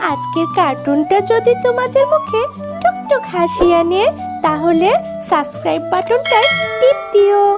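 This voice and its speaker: A woman narrates a children's story in a very high voice. Music plays behind her scripted storytelling. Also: big pitch swings, normal speed.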